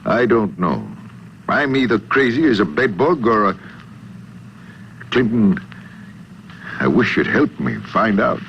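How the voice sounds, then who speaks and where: An actor playing a troubled, absent-minded professor in 1950s American radio drama, with a hesitant, formal, brooding manner. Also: male voice, lowish voice, sing-song, slow, long pauses, a bit bright, exaggerated voice. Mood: anxious, confiding. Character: troubled, absent-minded male professor with a frightening mental power. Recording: muffled 1950s radio recording, light noise.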